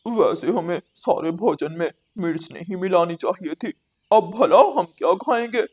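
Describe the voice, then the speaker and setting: A man's voice: high voice, moderate pace, darkish tone. A man voicing a rueful, grumbling male crow in a children's cartoon. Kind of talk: children's cartoon story acting.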